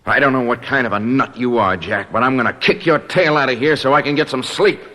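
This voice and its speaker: An actor in a radio drama playing a brash young hipster punk, cocky but haunted, slangy and stammering. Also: male voice, mid-range voice, a little fast, a bit bright, lightly acted. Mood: rattled. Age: young adult.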